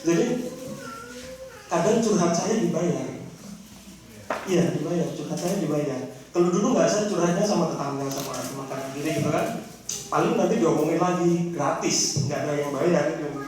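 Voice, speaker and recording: A man's voice: a little high, normal speed. Hesitant Indonesian man in an echoey hall. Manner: hesitant, self-deprecating humor, many fillers. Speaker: guest speaker, novelist. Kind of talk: casual talk at a seminar. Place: seminar room. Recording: echoey room, distant mic, noisy.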